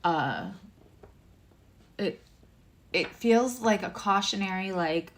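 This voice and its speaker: A woman tarot reader and astrologer with a low voice, giving a livestream reading, chatty and emotional, addressing viewers by name. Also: animated, slow.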